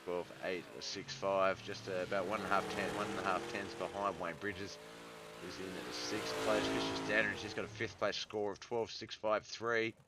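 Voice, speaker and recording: An Australian man doing relaxed play-by-play commentary on a live-stream sim race, reading out lap times, with a hoarse, congested voice. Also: sits low, normal speed, very noisy. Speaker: sim racing league commentator.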